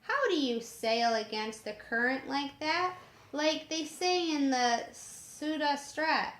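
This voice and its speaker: A woman voicing an excitable, eager young male sailor in a storybook reading, in a roomy echoing recording. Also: sits high, slow, voice pushed up, heavily acted. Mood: puzzled, asking.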